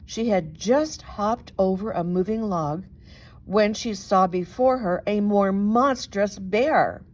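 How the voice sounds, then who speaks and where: A woman narrates a children's story in a medium-pitched voice. She takes her time over the phrases. Her delivery is expressive and deliberate, leaning dramatically on the descriptive words. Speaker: storybook reader, reverend.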